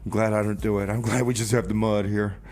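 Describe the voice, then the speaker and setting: A man hosting a community radio music show in a casual, halting style with comic banter, often repeating his words. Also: on the low side, little pitch movement, darkish tone.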